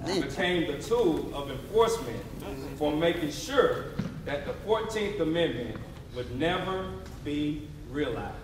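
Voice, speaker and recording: A man's voice: a little high, big pitch swings, unhurried, a crowd in the background. A man delivering an emphatic, preacher-like readers theatre oration on stage. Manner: emphatic, declamatory, preacher-like call and response. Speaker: stage narrator. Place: theatre stage before a responding audience. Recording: very echoey live hall, distant mic.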